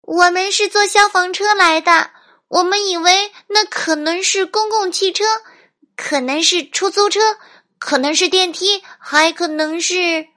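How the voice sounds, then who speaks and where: Woman putting on an eager, high-pitched little girl. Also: a little slow, bright, forceful tone, full character voice. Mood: explaining. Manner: exclaiming, wheedling. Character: bold, eager little girl, excitable and pushy.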